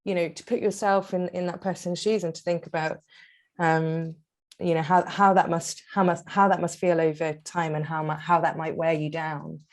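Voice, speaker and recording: A woman's voice: deep voice, little pitch movement, normal speed. A woman hosting an online webinar on a video call, inviting people to speak and stumbling through repeats and fillers. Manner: warm encouraging tone, many fillers and repeats. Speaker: webinar host. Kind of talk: facilitating an online discussion.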